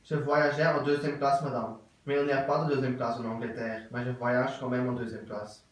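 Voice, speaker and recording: A man playing a stiff, courteous middle-aged English gentleman in an absurd stage play, with formal, ceremonious and repetitive speech. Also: mid-range voice, flat delivery, moderate pace, natural voice. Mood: ceremoniously curious. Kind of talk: theatre acting of an absurd play. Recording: very echoey room, voice from far off.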